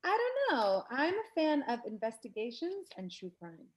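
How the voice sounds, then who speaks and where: Woman playing teen schoolgirl, high-pitched, swooping intonation, blunt, questioning, scripted drama. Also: huge pitch swings, normal speed. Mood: casual. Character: blunt, baffled teenage schoolgirl. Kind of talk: scripted table read.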